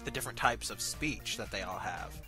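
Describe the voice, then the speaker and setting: A man co-hosting a casual review chat, speaking in an effusive, gushing way and quick to laugh. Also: fairly low pitch, over music. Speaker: co-host of an amateur voice acting review show.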